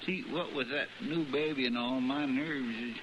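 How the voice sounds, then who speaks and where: A man acts a deferential, rambling sidekick in a 1950s radio western. He has a mid-pitched rural American drawl and a hesitant, fretful way of talking. The old recording is muffled and hissy. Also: normal speed, lightly acted. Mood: sheepish, fretful. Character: folksy, deferential, fretful marshal's deputy.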